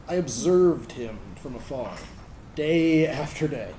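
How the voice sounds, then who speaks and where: Shy lovestruck military kid, acted by a man, higher mellow male voice, reminiscing monologue, echoey room. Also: lively intonation, takes it slowly. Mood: shy, smitten. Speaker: actor in a staged reading. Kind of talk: dramatic monologue reading. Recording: roomy, noisy live stage recording.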